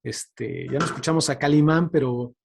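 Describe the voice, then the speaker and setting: A man's voice: a little high, normal speed, creaky, darkish tone, a little hiss or hum. A man giving an informal presentation, hesitant and conversational, often checking for agreement. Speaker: guest lecturer. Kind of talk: informal presentation on radio drama.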